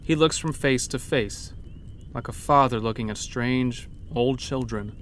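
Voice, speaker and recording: A man's voice: mid-range voice, unhurried, slight background noise. A man narrating a scripted first-person horror story in a dramatic storytelling manner. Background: background music.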